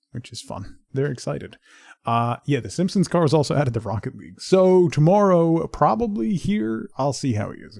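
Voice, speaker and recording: Gravelly, mellow man chatting on a stream. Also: mid-range voice, dry recording, clean studio sound. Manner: rambling, joking, mock-scolding. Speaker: game streamer. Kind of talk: casual livestream chat. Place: indoors at home. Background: faint music.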